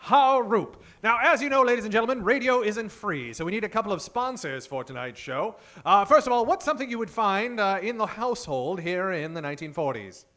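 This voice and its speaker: A man's voice: high-pitched, expressive, a little fast, few pauses, pushed and bright. A man hosting a live comedy show from a theater stage, talking like a theatrical old-time 1940s radio emcee and projecting to the audience.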